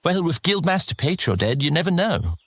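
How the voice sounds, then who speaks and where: A man's voice: a little high, sing-song, fairly quick, husky, heavily acted. A man playing a mischievous young street rat in a fantasy audio drama, teasing and persuading as he talks. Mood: sly.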